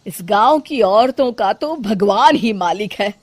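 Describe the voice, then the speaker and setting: A woman's voice: mid-range voice, expressive, measured pace, no room sound. A woman voicing a middle-aged city mother-in-law in a cartoon story, fond but complaining, with melodramatic exclamations. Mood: irritated. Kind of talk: Hindi cartoon moral-story acting.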